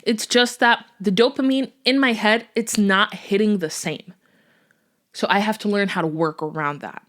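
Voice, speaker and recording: A young woman whose first language is Portuguese, hosting a faith-based podcast in an earnest, chatty way. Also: mid-range voice. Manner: earnest, chatty, with fillers and small laughs. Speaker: podcast host.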